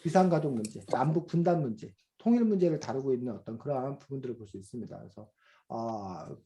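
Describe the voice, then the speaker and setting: A middle-aged man teaching a children's reading class over a video call, explaining slowly with many fillers and questions to the students. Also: medium pitch, lively intonation, dark tone. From South Korea. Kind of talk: online class lecture to children.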